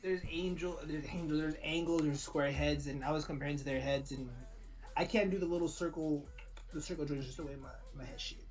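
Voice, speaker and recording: A man rattles off slangy podcast banter at a quick clip. He often stumbles, repeats himself and restarts. Faint music plays behind him. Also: fairly high pitch, fairly even intonation, a little mellow, poor audio. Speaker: podcast co-host. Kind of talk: casual banter.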